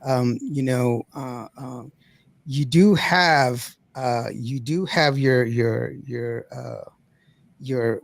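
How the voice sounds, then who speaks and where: A raspy-voiced man hosting a podcast in a casual, rambling way, often repeating words as he goes. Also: mid-range voice, extremely slow, dry recording. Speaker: podcast host. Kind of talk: casual chat.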